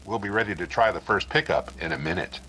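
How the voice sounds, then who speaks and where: Man playing a formal, bright-edged 1930s radio announcer. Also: sits low, a little hiss or hum. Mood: courteous, brisk. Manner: formal, measured, courteous.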